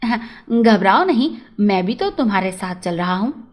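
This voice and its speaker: A woman's voice: medium pitch, lively intonation, moderate pace, voice pushed down, close to an everyday voice. A woman voicing an affectionate, grateful male shopkeeper in a storybook tale, speaking earnestly. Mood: reassuring.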